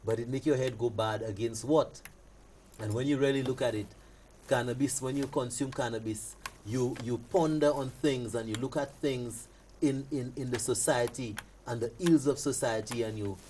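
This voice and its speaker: A man's voice: mid-range voice, normal speed, mellow. A man with a Caribbean accent speaking as a guest in casual discussion, agreeable and affirming. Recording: slight background noise.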